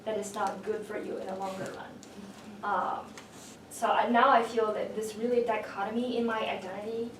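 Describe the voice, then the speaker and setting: A woman's voice: mid-range voice, steady tone, normal speed. A woman from China with a Chinese accent, an international doctoral student on a panel, speaking earnestly with frequent ums. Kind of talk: panel discussion advice. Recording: echoey room from far off, with noise.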